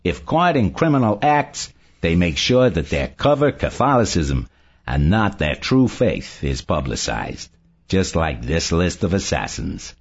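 Male narrator as an eager, confident investigator in an audiobook, very deep and animated, emphatic delivery, lo-fi sound. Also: slightly rough, exaggerated voice. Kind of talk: audiobook character dialogue. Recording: dull lo-fi recording.

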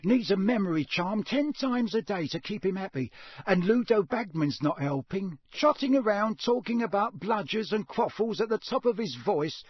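A man's voice: high-pitched, animated, normal speed, breathy edge, no echo, pitched above the performer's own voice. A man voicing an exhausted male ministry wizard who mutters weary complaints.

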